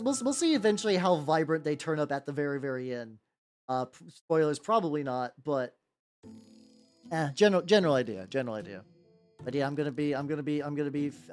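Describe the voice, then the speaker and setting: A young man with a relatively high voice chatting casually on a livestream while painting miniatures, rambling and often repeating himself. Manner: rambling, repeats words, laughs often, squeals in playful high bits. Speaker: VTuber streamer. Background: soft background music.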